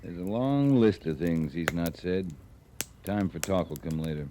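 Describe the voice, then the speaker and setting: An actor playing a gruff, steady frontier cavalry captain with an American accent, terse and dry, in an old-time radio western. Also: male voice, low voice, unhurried, a little hiss or hum. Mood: dry, firm.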